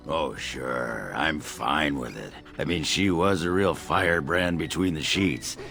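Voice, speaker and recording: Gravel-throated, very deep old dwarf. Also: male voice, bright, forceful tone, full character voice. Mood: defensive, then crudely scornful. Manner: crude, blustering, muttering. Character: gruff drunken old male dwarf warrior, crude and sore. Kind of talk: video game character acting.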